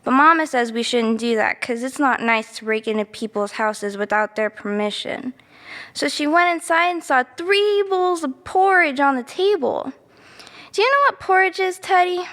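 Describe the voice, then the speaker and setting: A woman's voice: high voice, animated, normal speed, a bit bright. A woman playing a chatty, easily sidetracked little girl who rambles through a bedtime story with naive asides and self-corrections. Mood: rambling, puzzled. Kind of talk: children's storybook monologue. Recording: long reverberant room echo.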